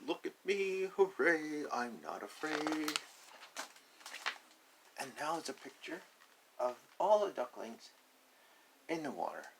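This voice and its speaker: A man's voice: on the high side, creaky, fairly bright tone, slight background noise, rough recording. A man reading a picture book aloud to young children, explaining simply with repeated asides. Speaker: storybook reader.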